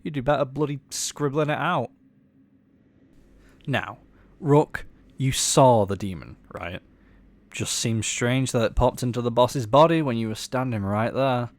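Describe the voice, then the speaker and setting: A man's voice: mid-range voice, a little slow, long pauses, lightly acted. An actor playing a blunt, irreverent tech guy in an audio drama, slangy and informal. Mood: irritated.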